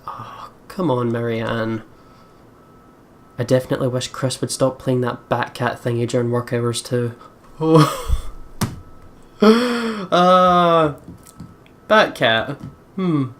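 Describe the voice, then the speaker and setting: A woman hosting a let's play, reading visual novel narration and reacting in casual, expressive, sweary commentary. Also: very deep voice.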